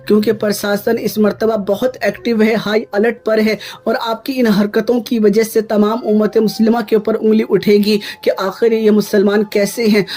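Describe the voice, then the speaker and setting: High-pitched, even-toned man presenting. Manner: earnest, admonishing, addresses listeners as dear friends. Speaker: YouTube channel host. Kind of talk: scripted news commentary turning into religious exhortation.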